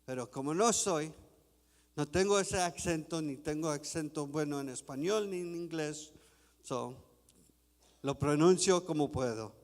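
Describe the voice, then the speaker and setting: A man preaching a sermon in a church, reading scripture slowly and deliberately. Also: on the high side, big-room sound. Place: church sanctuary.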